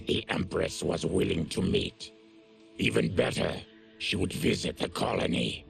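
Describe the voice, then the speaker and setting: Deep, gravelly, afflicted mutant warrior. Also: male voice, exaggerated voice. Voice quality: gravelly, guttural. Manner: solemn, deliberate, formal. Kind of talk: dramatic video game acting.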